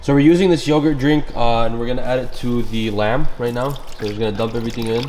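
A man's voice: medium pitch, fairly even intonation, fast talker, noisy background. A young man chatting through a cooking session in a big home kitchen, upbeat and gushing about the food. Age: young adult. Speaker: cooking vlogger. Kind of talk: casual cooking commentary.

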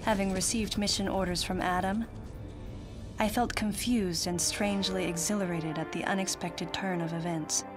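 A reserved young American bounty hunter, voiced by a woman, narrating her own story in a video game. Her voice is on the low side, measured and introspective, with music clearly playing behind it. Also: steady tone, lightly acted. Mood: reflective. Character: reserved female bounty hunter, reflective and solemn. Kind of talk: scripted first-person narration in a video game.

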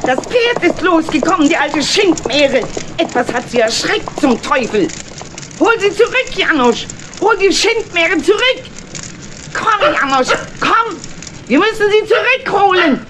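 Shrill, bad-tempered old hag. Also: female voice, very animated, measured pace, full character voice. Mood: angry, urgent. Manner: harsh, commanding. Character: old witch-like woman, bad-tempered, cursing wagon traveller. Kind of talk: children's audio play acting. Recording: noisy old record, outdoor effects.

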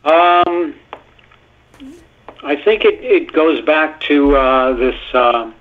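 A man's voice: on the high side, expressive, very slow. Man on a tinny phone line. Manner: rambling, filler-heavy storytelling. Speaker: guest actor. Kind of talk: casual phone-in interview.

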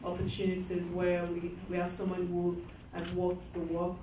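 A woman describing mentoring programs in a measured way. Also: fairly low pitch, completely flat delivery, mellow. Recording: very echoey room, noisy, distant mic.

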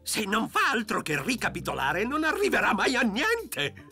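A man voicing a fussy, excitable show director in a cartoon dub, with a melodramatic, exclamatory delivery. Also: sits high, moderate pace, faint music behind, exaggerated voice. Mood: desperate, agitated.

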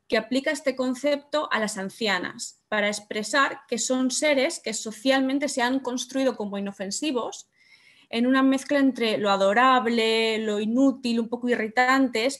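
High-voiced young woman giving an online talk. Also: fairly bright tone. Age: young adult. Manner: conversational, asks rhetorical questions. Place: home room, webcam.

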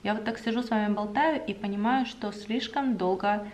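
A young woman chatting to the camera at home, enthusiastic and talkative, with drawn-out hesitations. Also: medium pitch, a little mellow, a little hiss or hum. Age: young adult. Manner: chatty, enthusiastic about cosmetics, drawn-out fillers. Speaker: beauty vlogger. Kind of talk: casual chat to camera. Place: home room indoors.

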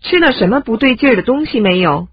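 A woman reading short lesson prompts in a clear, scripted way. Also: fairly high pitch, expressive, fast, no echo, dull audio. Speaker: lesson reader.

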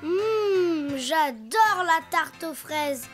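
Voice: very high voice, drawn-out words, soft background music, exaggerated voice. A performer voicing a cheerful, imaginative little boy donkey in a children's cartoon, chatty and childlike. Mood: content. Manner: childlike, talking to himself, expressive.

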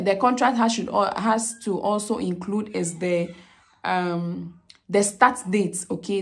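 A woman in her thirties with a Ghanaian accent, explaining visa steps to camera in a casual, repetitive way, often checking in with "okay?". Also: medium pitch. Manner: repeats "okay?" often, many fillers and restarts. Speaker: vlogger giving visa advice. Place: small indoor room with plain wall.

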